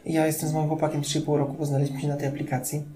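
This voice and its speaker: A man co-hosting a podcast in casual chat, animated and exasperated, often repeating himself. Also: fairly high pitch, racing. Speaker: podcast co-host.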